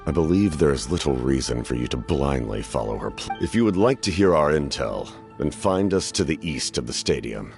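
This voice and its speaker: A scheming game faction leader, formal and persuasive. His voice sits very low and grinds with grit, yet it swings widely as he makes his case. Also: lively intonation, moderate pace, hardly any pauses, a little mellow, soft background music, exaggerated voice. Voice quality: deep gravelly voice. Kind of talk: game voice acting.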